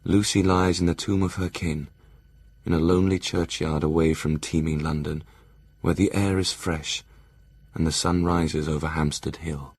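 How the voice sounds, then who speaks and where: An actor playing a sombre, grieving doctor in an audio drama, narrating his diary in a subdued, solemn way with a gravelly, husky voice. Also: very deep voice, steady tone, normal speed, darkish tone, no echo, full character voice. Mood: mournful.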